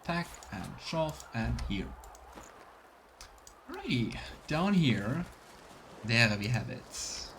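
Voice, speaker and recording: A man's voice: mid-range voice, very animated, slow, pauses often, a bit bright, a little hiss or hum. A man giving casual let's play commentary, thinking aloud and murmuring to himself as he plays. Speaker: streamer playing a fantasy role-playing game. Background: game music.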